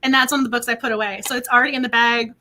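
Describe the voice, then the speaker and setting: A woman hosting a book club livestream in casual chat, excitable and quick to laugh, her voice getting worn. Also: a little high. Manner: excitable, laughs often, repeats words.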